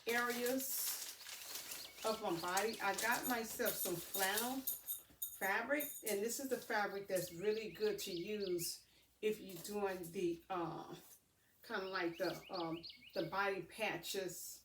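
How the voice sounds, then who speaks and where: A woman vlogger talks casually through an unboxing, pausing and feeling her way through sentences. She sounds set back from the microphone in an echoey room, with faint noise underneath. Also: medium pitch, a bit bright. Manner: halting, addresses viewers as family. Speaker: vlogger showing purchases. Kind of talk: casual unboxing chat to camera. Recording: roomy echo, distant mic, faint noise.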